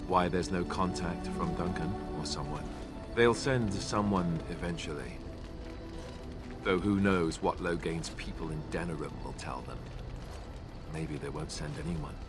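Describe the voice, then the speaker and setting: A man voicing a young male warrior and former templar trainee in a fantasy video game, speaking with wry, self-mocking humor. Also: low voice. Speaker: companion character in a video game. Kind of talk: voiced game dialogue. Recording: game audio over background music. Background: game soundtrack music.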